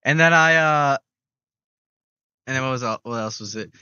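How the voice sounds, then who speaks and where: Bright rambling young streamer guy. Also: medium pitch, normal speed, clean tone, dry recording, lo-fi sound. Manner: rambling, swears a lot, many restarts. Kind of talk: casual gaming stream chat.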